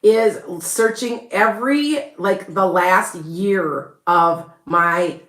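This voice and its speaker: A woman's voice: mid-range voice, extremely slow, fairly bright tone, roomy sound. A woman with a Chicago accent, hosting a rambling, chatty live stream as a psychic reader and laughing often. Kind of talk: casual live-stream chat.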